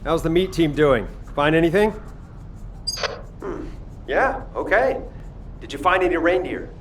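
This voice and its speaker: An actor playing a brash but earnest military chef in a video game, with a casual, expressive, reactive delivery. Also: male voice, on the high side, measured pace, slight background noise. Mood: curious, musing.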